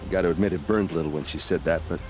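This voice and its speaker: An actor playing a blunt, self-deprecating warrior captain in a video game cutscene, talking in a casual, wry way. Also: male voice, low-pitched, very fast, over music, natural voice, rough recording. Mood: reflective, rueful.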